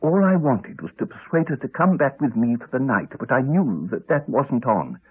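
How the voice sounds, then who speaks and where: Haunted man, husky voice, widely swinging pitch, halting and subdued, old radio drama. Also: sing-song. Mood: uneasy, reflective. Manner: hesitant, halting, subdued, with trailing pauses. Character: Alex, haunted man haltingly recounting a horror. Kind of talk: 1980s radio drama acting. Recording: muffled phone-like archive radio recording.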